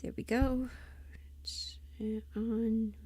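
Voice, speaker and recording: A woman chatting casually on a livestream, thinking aloud in a halting, self-deprecating way, often muttering to herself. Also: a little high, flat delivery, mellow. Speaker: livestream host, voice performer.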